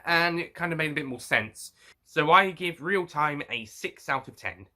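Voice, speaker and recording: A man talking casually to viewers in an unscripted review, halting and rambling as he often rephrases himself. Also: fairly high pitch, animated, heavy background noise. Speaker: review show host.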